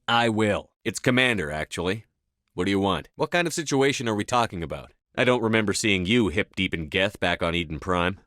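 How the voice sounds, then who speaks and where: A man's voice: lowish voice, a bit bright, no echo, studio quality. A man playing a resolute, blunt military commander in a science fiction video game, speaking firmly and tersely with authority. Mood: urgent, determined, then brisk, businesslike. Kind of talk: scripted game dialogue.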